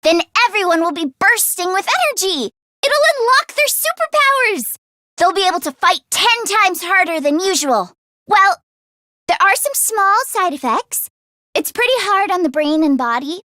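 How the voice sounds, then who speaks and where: High, bright, excitable girl inventor. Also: normal speed, no room sound, clean studio sound, exaggerated voice. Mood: eager, proud. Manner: expressive, swinging from deadpan grumbling to frantic outbursts. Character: excitable teenage girl inventor, earnest, easily flustered. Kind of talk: anime-style video game voice acting.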